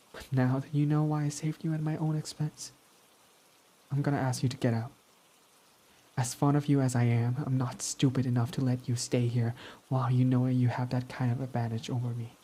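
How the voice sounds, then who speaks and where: A young man playing a guarded male villain who confesses his love, speaking softly and often sinking to a whisper in a romantic roleplay. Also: mid-range voice, little pitch movement, a little fast, slightly rough, natural voice. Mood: tender, confessing. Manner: soft-spoken, often dropping to a whisper. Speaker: ASMR roleplay voice actor.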